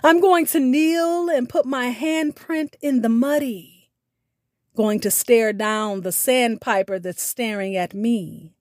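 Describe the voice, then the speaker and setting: A woman podcast host reading a scripted sign-off in a deliberate, drawn-out, expressive way. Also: a little high, dry recording, studio quality. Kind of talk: scripted sign-off narration with spoken poetry. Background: music.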